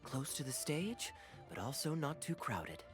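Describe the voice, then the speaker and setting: An actor voicing a suave, easygoing adventurer in a video game, with playful charm and teasing, smooth phrasing. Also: male voice, mid-range voice, sing-song, unhurried, fairly bright tone, faint music behind. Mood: suave, courteous.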